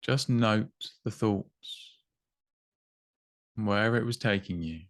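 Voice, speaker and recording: A man with a fairly low voice leads a body scan meditation. He gives gentle instructions in short phrases, unhurried, with long silences between them. The sound is dry, with no room around it. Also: no echo. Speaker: meditation guide.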